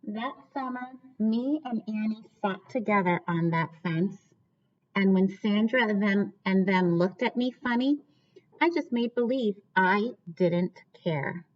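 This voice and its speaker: A woman reads a children's story aloud as a teacher would, putting questions to her young listeners. Her pitch sits in the middle range for a woman. The recording is a little dull and lo-fi. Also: unhurried. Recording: dull, lo-fi sound.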